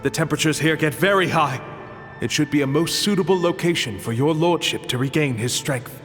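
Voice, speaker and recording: A man's voice: fairly high pitch, fairly quick, fairly bright tone, soft background music, natural voice. An actor playing an entranced, obedient male servant in a video game, speaking formally and reverently to his master, his voice in reverb. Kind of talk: video game character acting. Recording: voice in a long reverb.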